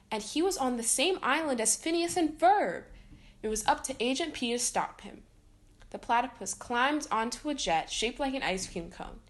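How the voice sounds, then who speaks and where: A woman reading a children's picture book aloud as a storytime reader. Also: a little high.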